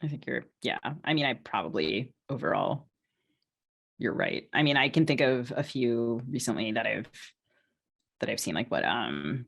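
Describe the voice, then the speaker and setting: A woman's voice: very deep voice, creaky, a bit bright, husky. A woman novelist co-hosting a podcast, talking casually in a hesitant, discursive way with plenty of "like".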